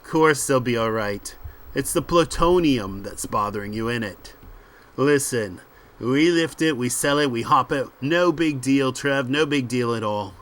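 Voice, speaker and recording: A man's voice: mid-range voice, a little slow, fairly bright tone, close to an everyday voice. A man voicing a cocky, callous British crook with slangy, mocking swagger in a comic book read aloud. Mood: cocky, dismissive.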